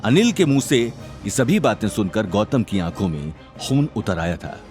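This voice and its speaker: A man's voice: fairly low pitch, very animated, moderate pace, darkish tone, faint music behind. A man narrating a scripted drama serial in a dramatic storytelling style.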